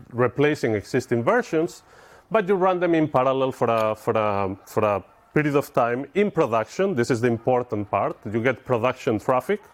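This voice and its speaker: Spanish accent guy giving a tech talk in a lecture room, middle-aged, chatty, kind of average pitch. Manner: informal, explanatory, addresses audience with questions. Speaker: conference speaker. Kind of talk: technical conference talk. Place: conference lecture room with audience.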